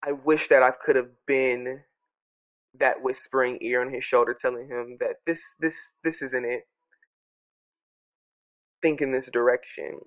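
A congested man journaling aloud at home into his microphone, speaking softly and earnestly, circling back and restarting his sentences as he reflects. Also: medium pitch, fairly even intonation, unhurried, long pauses. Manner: soft, earnest, musing with many restarts. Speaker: podcast host journaling aloud. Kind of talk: reflective personal audio journal. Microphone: own studio microphone.

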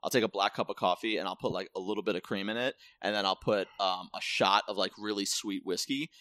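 A man's voice: lowish voice, quick pace, pushed and bright, no room sound, studio quality. A man chatting casually as a podcast host, talking in slangy, profane everyday language. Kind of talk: casual chat.

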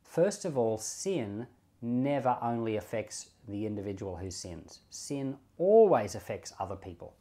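A man's voice: mid-range voice, darkish tone. Man teaching the Bible, explanatory and emphatic. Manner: explanatory, reasoning aloud with rhetorical questions. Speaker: pastor and Bible podcast host. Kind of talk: informal Bible teaching commentary.

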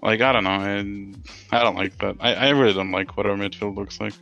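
A man's voice: on the low side, fairly even intonation, brisk, vocal fry, a bit bright, lo-fi sound, faint music behind. A man hosting a casual football podcast, rambling and frustrated, swearing freely. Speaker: podcast host.

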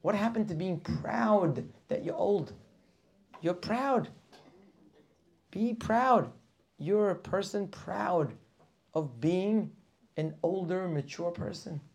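A man's voice: sits high, takes it slowly, vocal fry, pauses often. A man teaching a weekly Torah class, preaching with passion, firing rhetorical questions and sometimes dropping to a near whisper.

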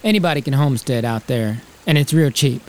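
An actor playing a volatile, ambitious 1890s drifter who talks in folksy slang as he pitches big schemes in an audio drama. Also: a little fast, darkish tone, a little hiss or hum, natural voice. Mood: matter-of-fact. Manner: folksy, slangy frontier talk. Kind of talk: acted screenplay reading.

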